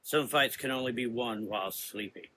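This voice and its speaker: Grave, cryptic male adventurer, narrator-performed, gothic horror drama, brooding and formal, bright timbre. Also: medium pitch, normal speed, slight background noise, close to an everyday voice. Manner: solemn, cryptic.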